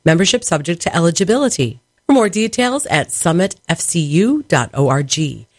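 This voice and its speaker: A woman's voice: fairly low pitch, big pitch swings, normal speed, no room sound, studio quality. A woman reading a scripted, polished sponsor message for a radio station. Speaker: underwriting announcer.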